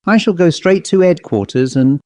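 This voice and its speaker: A man's voice: on the high side, expressive, moderate pace, dark tone, no room sound, voice pushed up, close to an everyday voice. A man voicing a grumpy, indignant, self-important owl in a story, complaining in rustic, ungrammatical speech. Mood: indignant, bitter. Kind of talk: storybook character voice.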